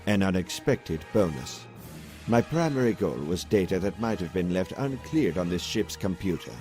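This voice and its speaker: Low, measured space admiral, calm and calculating man acting. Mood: composed. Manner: measured, formal, composed. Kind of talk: scripted comic dub acting. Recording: music under the dialogue.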